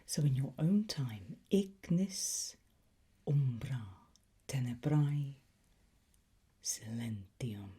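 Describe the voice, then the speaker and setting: A woman speaks in a soft, dark, very breathy whisper, at an extremely slow pace. She plays a patient ASMR teacher, gently encouraging the listener. The delivery is unhurried and close to unvoiced throughout. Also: very deep voice, animated. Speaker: ASMR host playing a language teacher.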